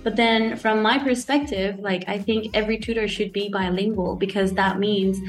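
A young woman whose first language is Spanish talks easily as an interview guest. She speaks in a mid-pitched voice, scatters "like" and "you know" through her sentences and breaks into light laughs, with faint music playing underneath. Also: fairly even intonation, moderate pace. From Guatemala. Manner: chatty, laughs often, many fillers like "like" and "you know". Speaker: guest, startup founder. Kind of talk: casual interview chat.

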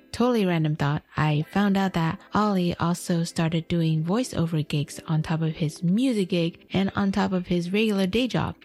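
A woman from Taiwan hosts a podcast in a chatty, lightly self-deprecating way, reading a prepared script. Her voice sits on the low side for a woman. Faint music plays beneath her. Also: a little mellow. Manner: chatty, self-deprecating, switches between English and Mandarin. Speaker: podcast host. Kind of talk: scripted bilingual podcast narration.

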